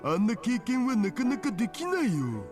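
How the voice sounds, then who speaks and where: A man's voice: very high voice, wildly swooping pitch, fast talker, exaggerated voice. An actor voicing a blunt, laid-back, nerdy young man in an anime-style visual novel. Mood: matter-of-fact. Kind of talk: Japanese visual novel voice acting. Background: game music.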